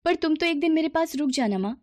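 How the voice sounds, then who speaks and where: A woman acts out a gentle young daughter-in-law from a cartoon family tale. Her voice is lifted and earnest, always polite and deferential. The sound is dry and close. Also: high-pitched, lively intonation, fast talker, no room sound, pitched above the performer's own voice. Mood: anxious, eager.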